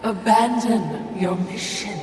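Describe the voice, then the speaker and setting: A woman voices a sly spirit minion in a video game, speaking slowly. The creature is needling and falsely servile, heard with echo over clear noise. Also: medium pitch, lightly acted. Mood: taunting. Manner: sly, taunting, mock-servile. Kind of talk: video game cutscene acting. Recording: echoey, clear background noise.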